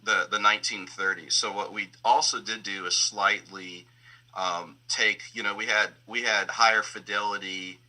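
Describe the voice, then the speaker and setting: A man's voice: fairly low pitch, fairly even intonation, moderate pace, bright, forceful tone, rough recording. A man who works as a sound designer, explaining his creative process in an interview in a reflective way, often searching for words. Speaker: sound designer and audio engineer.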